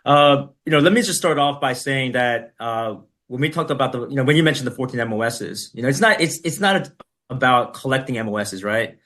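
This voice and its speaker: A middle-aged man, a podcast guest, telling his story in relaxed conversation, with many fillers and "right?" tags. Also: mid-range voice, a little fast.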